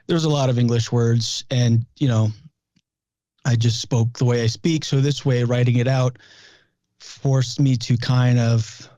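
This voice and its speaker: Mellow-voiced comedian from New Brunswick. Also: male voice, medium pitch, steady tone, a little slow, dry recording, muffled recording. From New Brunswick, Canada. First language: French. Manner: loose storytelling, swears freely, many restarts. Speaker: guest comedian. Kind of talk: casual interview chat.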